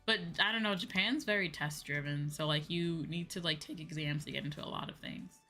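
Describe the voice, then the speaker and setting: A woman streamer chatting casually and answering viewers in an animated, conversational way, often repeating phrases for emphasis. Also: deep voice, fairly quick. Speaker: VTuber streamer; high school teacher. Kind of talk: casual livestream chat, replying to viewers. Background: background music.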